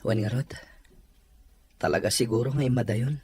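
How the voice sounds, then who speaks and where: Woman playing a very deep-voiced, subdued family friend. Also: moderate pace, darkish tone, husky, heavily acted. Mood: regretful. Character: concerned, regretful friend of the family. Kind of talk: Ilocano audio drama acting.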